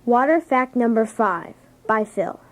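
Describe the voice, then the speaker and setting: A woman's voice: on the high side, expressive, unhurried, a little hiss or hum. A woman voicing an earnest schoolchild reciting a science report in a children's read-along. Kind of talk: scripted science fact reading.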